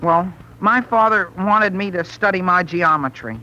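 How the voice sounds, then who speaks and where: A man's voice: high-pitched, measured pace, bright, forceful tone, muffled recording, heavily acted. An actor playing an earnest, hesitant teenage boy who stammers and dodges, in a 1930s radio comedy. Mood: flustered, evasive. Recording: noisy, vintage 1930s radio broadcast.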